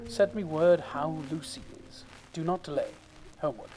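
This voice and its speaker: An actor playing an anxious gentleman dictating a terse, clipped telegram in an audio drama. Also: fairly high pitch, normal speed, over music, lightly acted, rough recording.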